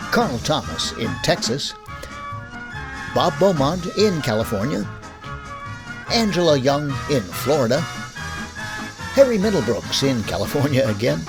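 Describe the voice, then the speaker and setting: A man's voice: medium pitch, low-quality recording. A man hosting a radio drama show, delivering a scripted sign-off. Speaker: show host and announcer. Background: music.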